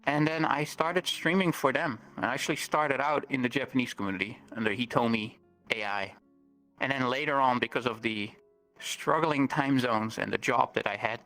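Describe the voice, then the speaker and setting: A man whose first language is Dutch, a guest on an interview, explaining things earnestly with many pauses and ums. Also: medium pitch, gravelly, pushed and bright, soft background music, low-quality recording. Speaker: guest streamer. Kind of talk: interview conversation.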